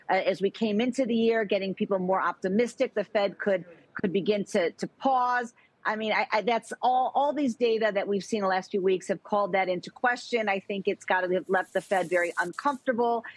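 A middle-aged woman economist speaking as a guest in a live TV interview over a remote video link, talking quickly with frequent restarts and repeated words. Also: mid-range voice, no room sound, poor audio. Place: home room with plant.

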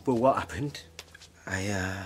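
An actor in a radio drama playing an apologetic, evasive estranged husband, pleading hesitantly and repeating himself. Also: male voice, sits low, a little slow, music in the background. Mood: sheepish, defensive.

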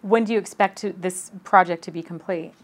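A woman in her thirties hosts a business interview, her voice sitting a little low as she asks polite, brisk questions. She is recorded indoors in an office on a clip-on mic. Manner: polite, scripted intro then brisk questions. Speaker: host. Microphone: lapel mic.

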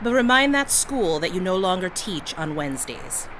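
A woman's voice: on the low side, sing-song, moderate pace. A woman playing a stern, nagging middle-aged mother in an audio drama. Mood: stern, reproachful. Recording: faint ambient background noise.

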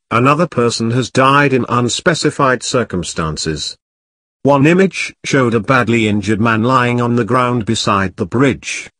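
A man reading scripted news headlines as a voice-over, in a steady, even delivery. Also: medium pitch, dry recording. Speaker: news reader.

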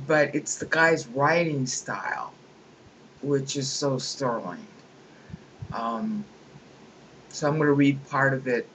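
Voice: medium pitch, fairly bright tone, rough recording. A speaker sits at a home computer and reads a book aloud into the desk microphone, over faint background noise. The reading keeps breaking off into opinionated, digressive remarks full of chuckling asides. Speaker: reader commenting on a book.

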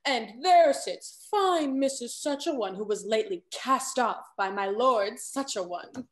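Young woman doing a sly gossipy society lady from an old stage comedy, affected, high voice. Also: expressive, measured pace. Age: young adult. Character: scheming, falsely sweet genteel lady confidante in Restoration comedy. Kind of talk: theatrical period stage acting over video call. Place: indoor room at home, on video call.

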